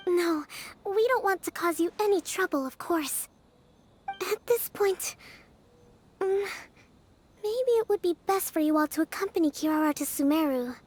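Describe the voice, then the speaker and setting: Very high-voiced clever young sleuth. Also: female voice, lively intonation, moderate pace, pauses often, a little mellow. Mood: considerate. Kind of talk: video game dialogue acting.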